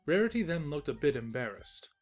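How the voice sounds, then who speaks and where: Casting: female narrator for a comic story reading, deep register, animated intonation. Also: fairly quick, soft background music.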